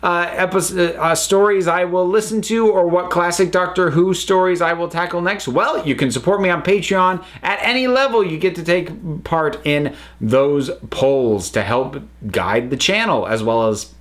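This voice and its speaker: A man's voice: a little high, lively intonation, a little slow, fairly bright tone. An American man hosting his own channel, giving a casual, rambling spoken review with frequent restarts and self-corrections. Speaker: channel host reviewing audio dramas.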